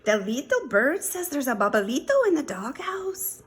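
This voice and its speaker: A boastful storybook kitten boy voiced by a woman, convinced he is a great chihuahua sword fighter. The voice is high and bright, leaping around in pitch. He is excitable and grandiose, with a singsong swagger. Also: normal speed. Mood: amazed, excited.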